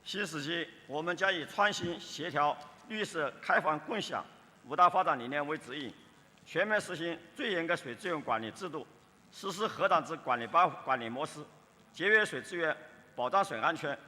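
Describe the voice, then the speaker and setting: A man's voice: fairly high pitch, lively intonation, brisk, bright, forceful tone. A man delivering a formal prepared speech at a conference. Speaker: conference speaker. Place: large conference hall. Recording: reverberant hall.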